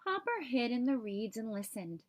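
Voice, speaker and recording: A woman reading a children's picture book aloud as an expressive storyteller for young listeners. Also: fairly high pitch, moderate pace.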